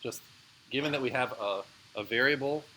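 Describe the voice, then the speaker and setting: A man's voice: mid-range voice, moderate pace, background noise. A man giving a live university lecture, explaining in a casual way with frequent fillers and answering student questions. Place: lecture room.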